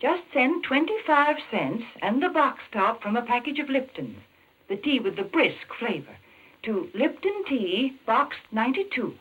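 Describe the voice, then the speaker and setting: Female announcer, lively high voice, prim earnest sales pitch, tinny phone-like old radio. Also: a little slow, breathy edge. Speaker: commercial announcer and co-host. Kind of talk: 1940s radio sponsor pitch.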